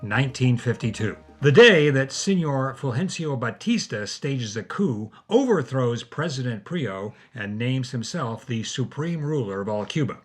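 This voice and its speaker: Male podcast narrator, raspy medium-pitched voice, scripted storytelling delivery. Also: expressive, a little slow, hardly any pauses, faint music behind.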